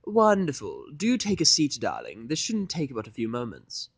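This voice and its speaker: A man voicing a refined, theatrical female pony fashionista in an audiodrama, fussy and flattering, with affected, drawn-out genteel phrasing. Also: medium pitch, vocal fry, no echo, voice pushed up, full character voice. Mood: inviting, brisk. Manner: affected, drawn-out, genteel delivery with terms of endearment. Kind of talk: audiodrama fan fiction character voice.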